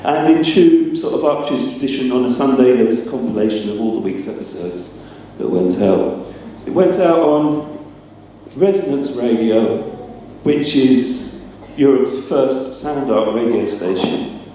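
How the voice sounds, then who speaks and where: A man's voice: medium pitch, expressive, a little slow, few pauses, mellow. A man giving an informal talk to a live gathering, chatty and hesitant, with a self-deprecating streak. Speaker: presenter, sound effects maker. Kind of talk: informal public talk with demonstration. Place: live talk in a reverberant indoor venue. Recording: echoey room, distant mic, faint noise.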